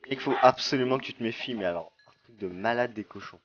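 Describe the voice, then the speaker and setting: A young man chatting casually and excitedly while playing a video game with a friend. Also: mid-range voice, fast talker, lo-fi sound. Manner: lively, jokey, bursts into loud exclamations. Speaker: Minecraft let's player, channel host. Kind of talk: casual gaming chat with a friend.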